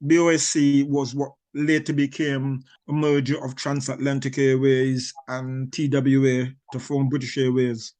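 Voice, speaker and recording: A man's voice: medium pitch, level tone, a little slow, few pauses, a little mellow. Older Barbados-born man, rambling answers. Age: 60s. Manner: rambling, many restarts and fillers, chuckles. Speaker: author.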